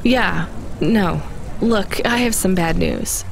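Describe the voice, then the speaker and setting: A woman's voice: medium pitch, slow pace, gravelly, slight background noise. A woman playing an anxious, headstrong but kind young delivery driver in a video game. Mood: worried.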